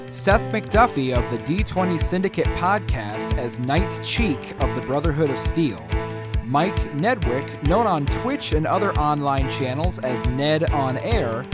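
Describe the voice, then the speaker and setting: Man announcing over loud music. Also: on the high side. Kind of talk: scripted credits narration.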